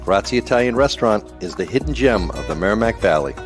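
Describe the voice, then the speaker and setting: A man's voice: fairly low pitch, expressive, fast talker. A man reading a scripted radio ad as a restaurant owner. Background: music bed.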